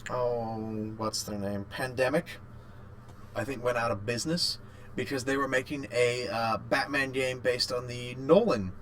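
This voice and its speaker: A man co-hosting a podcast in casual chat, rambling and haltingly repeating his phrases. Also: mid-range voice, steady tone, fairly bright tone. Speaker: podcast co-host. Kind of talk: casual chat about video games.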